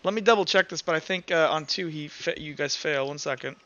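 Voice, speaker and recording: Bright, high-voiced male game master. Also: lo-fi sound. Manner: halting, informal, sweary. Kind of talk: casual improvised tabletop game narration.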